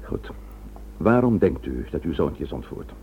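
An actor in a Dutch radio drama playing a stern, gruff police commissioner with a curt, authoritative manner. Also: male voice, very deep voice, big pitch swings, a little slow, dull audio, full character voice. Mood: firm, controlled. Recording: noisy, thin old radio recording with hum.

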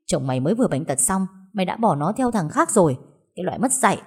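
A woman voicing a furious, foul-mouthed older sister who shouts insults and sobs. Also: lowish voice, big pitch swings, fast talker, husky.

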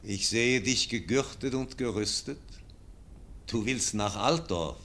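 A man's voice: on the low side, slow. An actor playing an old, dying nobleman who solemnly pleads with his nephew, in a classic radio play. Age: older. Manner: solemn, pleading, swings from loud to hushed.

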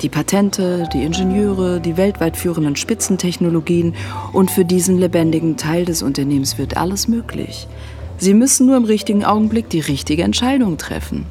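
A woman's voice: lowish voice, fairly quick, warm tone, soft background music, natural voice. An actress playing a seductive, self-assured businesswoman who speaks in a coaxing, persuasive way in a radio drama.

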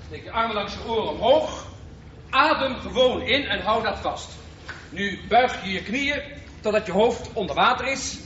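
A brisk, encouraging swimming instructor in a radio drama. His voice is high for a man and swings in pitch. It rings with echo on a slightly dull recording. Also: a bit bright, slight background noise, full character voice. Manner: instructing, plain-spoken. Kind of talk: Dutch radio drama acting.

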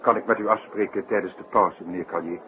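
A man's voice: low voice, fairly even intonation, fast, lo-fi sound, background noise, lightly acted. An actor playing a grave, exasperated male circus director in a 1960s radio drama, speaking formally and with weighty emphasis.

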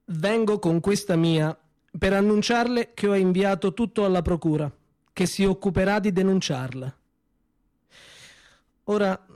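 Actor as a high-pitched, defiant male secretary. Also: a little mellow, no room sound, clean studio sound, close to an everyday voice. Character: bitter, defiant secretary denouncing his boss. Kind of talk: theatrical monologue in a radio play.

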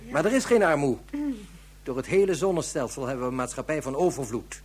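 A man's voice: medium pitch, expressive, brisk, a little mellow, breathy edge. A man playing a grave, articulate police inspector in a science fiction radio drama, explaining things in rhetorical questions. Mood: emphatic, rhetorical.

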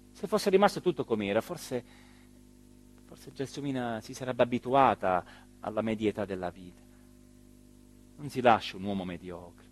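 Man acting rueful mayor, swinging pitch. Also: natural voice. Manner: rambling, hesitant, emphatic, with repeated phrases and pauses. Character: rueful, self-important small-town mayor of southern Italy. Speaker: stage actor performing a radio theatre monologue. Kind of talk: theatrical dramatic monologue.

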